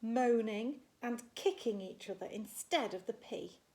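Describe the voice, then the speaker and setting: Woman, primary teacher storytelling, slow pace, fairly high voice, expressive, asides to children. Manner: animated, slow and deliberate storytelling, gasps and murmurs for effect. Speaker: primary school teacher reading a picture book to a class.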